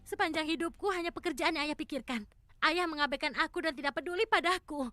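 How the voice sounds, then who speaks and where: Sharp, high bitter girl villain. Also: quick pace, no room sound. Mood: bitter defiance. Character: bitter, scheming young female villain, neglected daughter. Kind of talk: animated cartoon dub acting.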